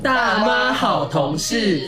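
A woman delivering a lively intro jingle for a show. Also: fairly high pitch, takes it slowly. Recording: roomy echo under loud music. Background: loud music.

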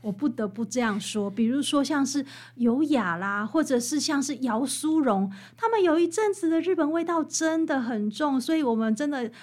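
Female interview guest, higher register, Taiwanese Mandarin accent, explains with lively examples in a conversational way. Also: moderate pace, few pauses. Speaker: interview guest, author and music researcher.

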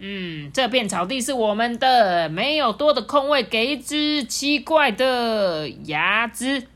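A woman's take on a bossy goose, high raised voice, scolding and shooing, storybook character. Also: animated, slow, a bit bright. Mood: bossy, indignant. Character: pushy, scolding goose guarding its meadow.

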